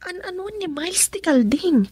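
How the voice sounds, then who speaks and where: A woman's voice: extremely high pitch, lively intonation, moderate pace, mellow, no echo. A woman with an Ilocano Filipino accent playing an inquisitive, girlish young daughter in a radio drama. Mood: curious.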